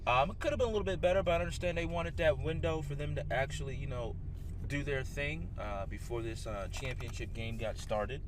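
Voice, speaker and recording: A man's voice: mid-range voice, fairly quick. Guy rambling about wrestling outside, um and uh a lot, far mic, noisy. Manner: rambling, many fillers. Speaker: co-host. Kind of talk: casual wrestling reaction chat. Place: outdoors. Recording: distant mic outdoors with loud noise.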